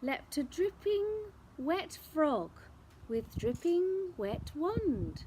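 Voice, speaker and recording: A woman storyteller reading a picture book aloud in an expressive, theatrical way, drawing out words. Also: very high voice, background noise. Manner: theatrical, with drawn-out words and sound effects. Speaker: children's storyteller.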